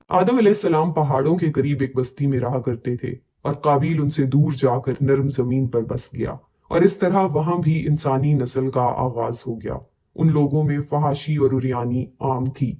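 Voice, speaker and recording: A man narrating scripted religious stories in a measured, reverent and formal manner. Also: fairly high pitch, mellow.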